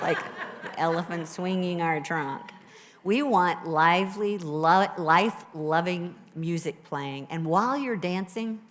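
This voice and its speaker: A woman minister with a low voice, preaching a sermon and leading a guided meditation in a conversational, encouraging way to a live congregation. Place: church sanctuary with a live congregation. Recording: live church recording with long reverb.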